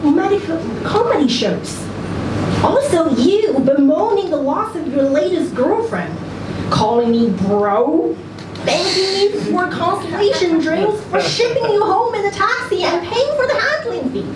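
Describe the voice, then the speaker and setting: A woman reading a scripted first-person story at a live event, with measured, dramatic phrasing, deliberate pauses and a wry delivery. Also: extremely high pitch, big pitch swings. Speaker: reader at a live literary event. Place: indoor event venue, live before an audience. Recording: roomy, distant live recording, loud room noise.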